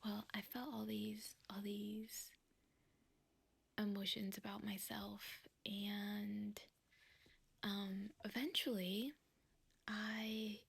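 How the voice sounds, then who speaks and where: A young woman whispering softly in a slow, rambling way, chatting ASMR-style at home. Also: medium pitch, little pitch movement, gravelly, husky. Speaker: vlogger. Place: quiet home, indoors.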